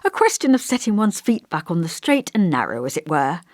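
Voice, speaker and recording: Woman voicing a fussy, genteel old vicar, swooping pitch. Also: sing-song, a little fast, a little mellow, dry recording, clean studio sound. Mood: earnest, reproving. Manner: pompous, old-fashioned, genteel, musing. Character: elderly male village vicar, kindly, fussy, long-winded. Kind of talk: audiobook character dialogue.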